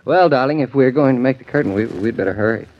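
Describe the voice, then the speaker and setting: An actor playing an affectionate, gently teasing husband in a 1940s radio drama, with a wry, patient manner. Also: male voice, mid-range voice, fast talker, close to an everyday voice. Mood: eager, encouraging. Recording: dull, lo-fi 1940s radio broadcast.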